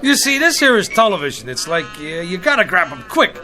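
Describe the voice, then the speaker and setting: An actor playing a brash, anxious hustler of a writer, wheedling in slangy, street-wise grammar. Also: male voice, high voice, sing-song, brisk, pushed and bright, exaggerated voice. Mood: glib, placating. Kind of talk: comic TV drama acting. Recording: music under the dialogue.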